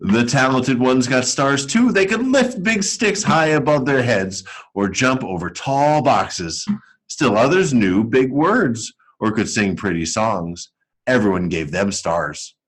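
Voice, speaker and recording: A man reading a picture book aloud to schoolchildren as an educator, telling it expressively and deliberately. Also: mid-range voice, expressive, breathy edge. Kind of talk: storybook read-aloud for children.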